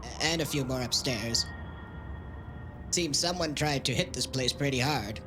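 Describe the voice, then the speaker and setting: An actor playing a nervous, deferential deputy in a noir audio drama, hesitant, apologetic and stammering. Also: male voice, medium pitch, unhurried, music in the background, natural voice.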